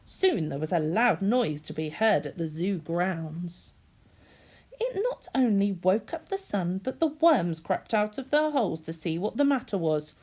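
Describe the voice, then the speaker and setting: A woman's voice: mid-range voice, very animated, a little slow, darkish tone. A woman reading a children's story expressively, in the manner of a teacher speaking to young listeners.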